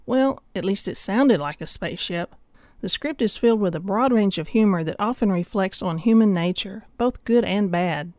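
A woman narrating a scripted audiobook review, measured and enthusiastic. Her voice sits in a middle range. The sound is dry and close. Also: medium pitch, a little mellow, dry recording.

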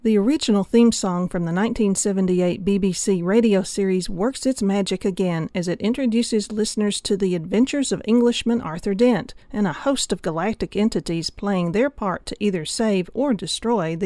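A woman reading a scripted audiobook review in a measured, enthusiastic way. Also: mid-range voice, dark tone, no echo.

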